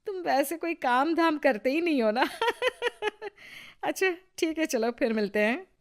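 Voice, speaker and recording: Woman voicing a nosy older auntie, mocking and falsely sweet, slow drawn-out talk, mid pitch. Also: animated. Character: gossiping older neighbor's mother-in-law, two-faced.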